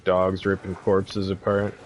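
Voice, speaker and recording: A man giving a casual, relaxed video game walkthrough as the host of a guide, in informal language. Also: sits low, measured pace, soft background music. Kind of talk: casual gameplay walkthrough commentary.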